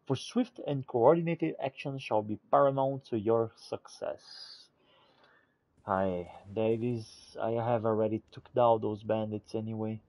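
A man giving casual in-character game commentary and reading notes aloud, halting and muttering as he goes. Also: fairly low pitch, a little mellow, no echo. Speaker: gamer roleplaying and narrating a playthrough.

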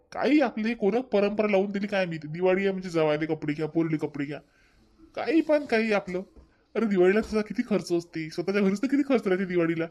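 A man plays a modest but opinionated young son-in-law in a cartoon comedy. His voice is high for a man, and he protests repetitively in a Varhadi Marathi accent. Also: close to an everyday voice. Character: outspoken, modest young son-in-law who rejects fuss and custom. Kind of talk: amateur animated family comedy acting.

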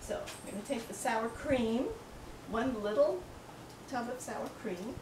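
A woman's voice: fairly high pitch, unhurried. An older woman chatting casually while cooking in her home kitchen, telling her helper what to do. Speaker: home cook showing a recipe. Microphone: camera across the room. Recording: echoey kitchen, camera far off, noisy.

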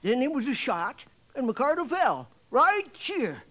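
Radio western stable owner: very high pitch, lively swings, Western accent, garrulous, folksy, rambling. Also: male voice, unhurried, exaggerated voice. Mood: excited, spooked. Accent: American Western. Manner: rambling, hesitant, chuckling folksy storyteller. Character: folksy, garrulous, excitable livery stable owner in old West. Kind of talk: old-time radio western acting.

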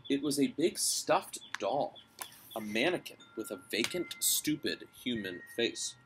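A man's voice: mid-range voice, very animated, measured pace. A man in his thirties reading a story aloud on a porch, recorded by a camera a little way off. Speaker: reader of a children's novel. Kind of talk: read-aloud storytelling with brief asides. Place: outdoors on a covered porch. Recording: clear outdoor ambient noise.

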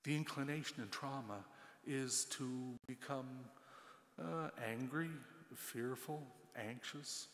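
Older man, parish priest, homily at the ambo; unhurried, emphatic, roomy church sound. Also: medium pitch, a bit bright. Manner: slow, gesturing, emphatic pauses between listed words. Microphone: gooseneck pulpit mic. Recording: echoey church with long reverb.

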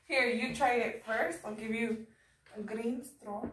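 Young mexican american woman, chatty and giggly, a bit high, far from the mic in an echoey kitchen. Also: steady tone, unhurried, fairly bright tone, slight background noise. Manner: giggly, chatty, switches into Spanish. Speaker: vlog host. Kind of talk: casual cooking chat. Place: home kitchen, indoors. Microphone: camera across the room.

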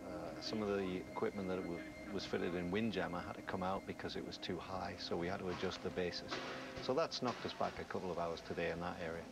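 A man calmly summing up a day of refit work in a documentary interview. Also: sits low, fairly quick, creaky. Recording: loud music underneath.

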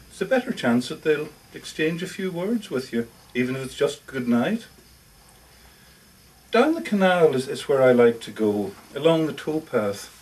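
A man reads aloud as a lonely, self-justifying older male lodger in a short story monologue. He confides in a hesitant, defensive way, with a mid-pitched voice that rises and falls a great deal. The live reading is picked up at some distance from the microphone. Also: a little mellow, close to an everyday voice. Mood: wistful.

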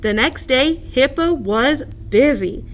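A woman's voice: high voice, animated, slow pace. A woman narrating a children's picture book expressively, as a librarian at story time.

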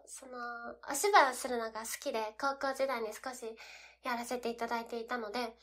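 High-pitched young woman, polite and giggly. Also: fairly even intonation, a little fast, fairly bright tone. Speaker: amateur voice drama performer. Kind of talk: informal cast comment.

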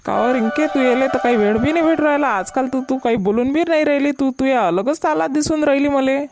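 A woman's voice: high-pitched, fast talker, a little mellow. A woman voicing a mildly indignant village youngster in a comedy cartoon, questioning in a Vidarbha Marathi accent. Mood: mildly reproachful. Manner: questioning, protesting.

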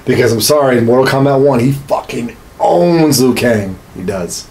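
A man's voice: medium pitch, moderate pace, darkish tone. A man in his thirties chatting casually and insistently as a co-host, swearing freely and arguing his point. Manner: foul-mouthed, insistent, argumentative. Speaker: podcast co-host. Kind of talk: casual chat about video games. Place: small indoor home studio room. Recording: roomy, echoing small room.

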